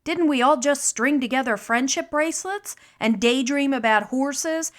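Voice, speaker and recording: Expressive woman audiobook narrator. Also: sits high. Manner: expressive, measured storytelling. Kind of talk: audiobook narration demo reel.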